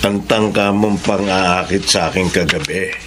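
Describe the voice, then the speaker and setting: A man's voice: low voice, fairly even intonation, unhurried, noisy background. An actor playing a steadfast, earnest husband and father with a Filipino accent in a radio drama. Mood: serious, resolute.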